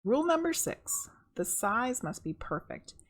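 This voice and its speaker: A middle-aged woman reading a children's picture book aloud, expressive and conversational, with casual asides to her viewers and a slightly husky voice. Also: a little high, measured pace.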